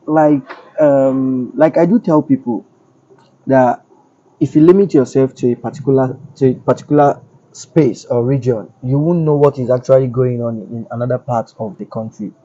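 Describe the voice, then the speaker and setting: A man's voice: medium pitch, fairly even intonation, moderate pace, warm tone. Nigerian man explaining things frankly. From Lagos, Nigeria. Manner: frank and explanatory, repeats phrases for emphasis. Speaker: interviewee. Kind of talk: casual street interview. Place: outdoors on a street. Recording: dull lo-fi audio.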